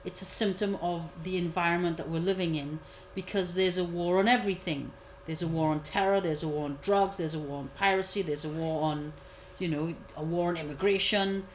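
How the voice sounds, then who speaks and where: A woman commentator giving a measured analysis, repeating key points for emphasis. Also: on the low side, steady tone, slight background noise, rough recording. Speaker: guest commentator. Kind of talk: analytical discussion.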